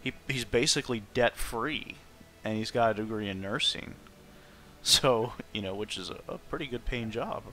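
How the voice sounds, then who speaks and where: A man's voice: medium pitch, normal speed, sharp tone. A man talking casually on a stream, opinionated and emphatic in how he makes his points. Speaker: streamer chatting during a game playthrough. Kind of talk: casual chat.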